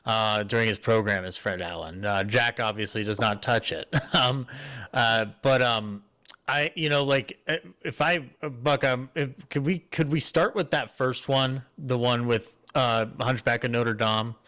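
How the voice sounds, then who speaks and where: A man's voice: mid-range voice, steady tone, measured pace, sharp tone. A man speaking casually as a panelist, hesitant and often interrupting himself. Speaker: panelist introducing a discussion.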